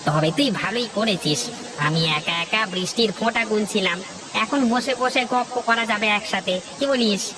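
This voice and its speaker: A man's voice: sits high, normal speed, natural voice. Man acting a folksy village idler, hissy recording. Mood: chatty. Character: chatty, idle village man, friend and gossip. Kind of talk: animated fairy-tale cartoon dialogue.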